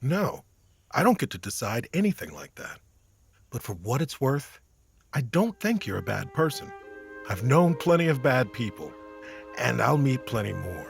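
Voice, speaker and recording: An actor playing a weary, kind monster-slaying hero in an audio drama, wry, candid and gently reassuring. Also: male voice, medium pitch, very animated, moderate pace, creaky, husky, faint music behind, exaggerated voice. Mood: gentle, reassuring.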